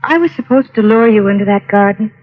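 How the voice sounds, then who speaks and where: A woman's voice: mid-range voice, expressive, fast, close to an everyday voice. An old, muffled radio recording with a long reverb holds a young femme fatale, played by an actress. She is guarded and torn, speaking in the melodramatic manner of 1950s radio noir. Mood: regretful. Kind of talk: 1950s radio drama acting.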